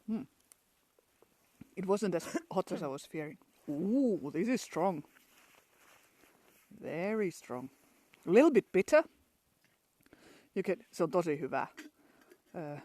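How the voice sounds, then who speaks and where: A woman's voice: low voice, mellow, no echo. A woman from Finland with a Finnish accent, chatting casually outdoors in a pine forest for a travel vlog and laughing often between restarts. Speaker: travel vlogger.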